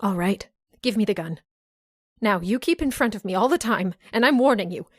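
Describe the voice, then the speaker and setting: A woman's voice: medium pitch, animated, moderate pace, dry recording, studio quality, natural voice. A woman playing a frightened, distrustful heroine in an old-time radio horror drama, with anxious, dramatic delivery. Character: frightened, distrustful woman under threat in a thriller. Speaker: actress in an audio drama.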